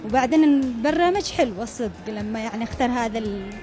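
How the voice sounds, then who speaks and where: A woman's voice: on the high side, lively intonation, fast talker, over music. A woman answering a street interview in a friendly, eager way. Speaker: passerby interviewee.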